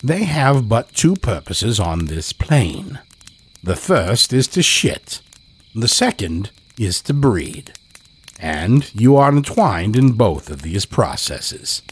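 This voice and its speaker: A man telling a scripted horror story as a podcast host, in a measured, dramatic way. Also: on the low side, big pitch swings, husky.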